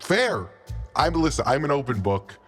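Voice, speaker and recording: A man acts out an undead lich who runs his lair like an office. He is chatty, evasive and falsely friendly, with a very lively pitch that swoops upward as he stammers through his excuses. Light music plays underneath this tabletop game. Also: moderate pace, natural voice. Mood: breezy, defensive. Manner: rambling, stammering, repeats himself. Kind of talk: improvised tabletop roleplay.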